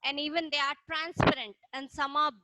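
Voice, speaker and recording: A woman's voice: high-pitched, fairly even intonation, a little fast, pushed and bright, dry recording, poor audio. A woman teacher with an Indian English accent giving an online science lesson to schoolchildren, often checking in with "isn't it?". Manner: frequent "isn't it?" tag questions, talks to children directly. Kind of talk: online class lecture.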